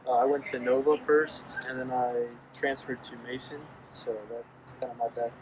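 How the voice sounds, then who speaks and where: A young man from Bosnia recounts his path to engineering school with lots of uhs. His delivery stays flat in pitch. Clear background noise runs throughout. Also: normal speed. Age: young adult. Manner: hesitant, many uhs. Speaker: graduating engineering student.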